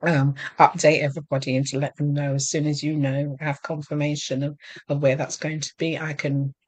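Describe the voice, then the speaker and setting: A woman's voice: low-pitched, flat delivery, fairly quick, darkish tone, dry recording. A woman hosting an interview, with a Barbadian accent, asking long rambling questions full of ums. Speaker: host and novelist. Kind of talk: casual interview chat.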